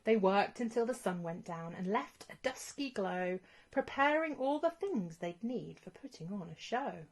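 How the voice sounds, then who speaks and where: A woman reading a rhyming children's storybook as scripted narration, in an expressive storytelling manner. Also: mid-range voice, unhurried.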